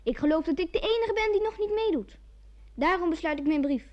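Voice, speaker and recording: A woman's voice: very high-pitched, animated, fairly quick, darkish tone. A woman playing a cheeky, inventive little girl in an old radio comedy. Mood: pert. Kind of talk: mid-century Dutch radio comedy acting. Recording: dull old radio recording.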